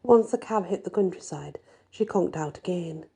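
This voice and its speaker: A woman reads a first-person fantasy story in an ASMR style, her voice soft, husky and breathy. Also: lowish voice, moderate pace. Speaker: audiobook-style story reader.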